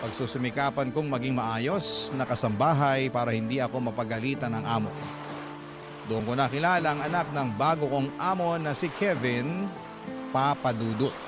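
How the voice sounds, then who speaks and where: A man's voice: medium pitch, moderate pace. A man narrating a radio drama as a scripted first-person letter. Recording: loud music under narration. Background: loud music.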